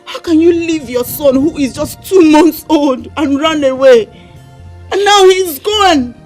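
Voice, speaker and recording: An actress playing a reproachful older sister in a drama. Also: female voice, high-pitched, measured pace, darkish tone, faint music behind. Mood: sorrowful. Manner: scolding, reproachful. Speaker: elder sister. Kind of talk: film drama acting.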